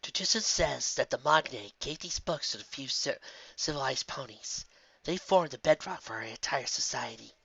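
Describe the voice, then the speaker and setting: Man as a hushed, regal pony princess. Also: medium pitch, animated, creaky, slightly rough, no echo, muffled recording, exaggerated voice. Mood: wistful, serious. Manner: measured, wistful. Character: wise gentle regal female pony princess mentor.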